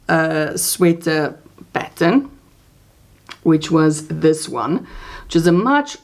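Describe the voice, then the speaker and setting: A woman's voice: lowish voice, gravelly, a little mellow. A woman whose first language is Afrikaans, chatting casually as host of her crochet channel, rambling with fillers and restarts. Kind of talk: casual crafting chat.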